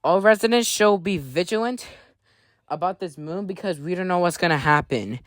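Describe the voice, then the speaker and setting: An emergency alert announcer delivers scripted warnings in a medium-pitched voice. The delivery follows the format of an official bulletin. The sound is dry, with very little room around the voice. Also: expressive, normal speed, no room sound.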